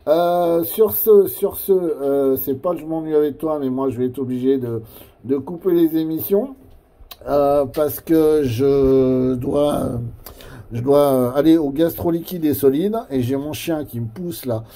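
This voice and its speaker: Mellow, halting man in casual radio chat. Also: a little high. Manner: hesitant, halting, repeats phrases. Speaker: amateur CB radio operator.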